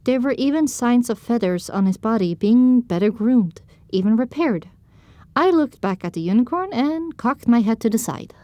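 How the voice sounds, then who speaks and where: A woman with a somewhat high voice delivers scripted first-person narration for an audio drama. The sound is dry and close, with no room around it. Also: lively intonation, moderate pace, dark tone, dry recording.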